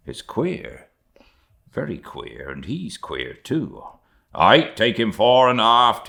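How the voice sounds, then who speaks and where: A man voicing an indignant, bewildered sailor, a second mate in a sea novel, with an excitable, colloquial, muttering way of talking. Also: fairly low pitch, pitched above the performer's own voice, exaggerated voice.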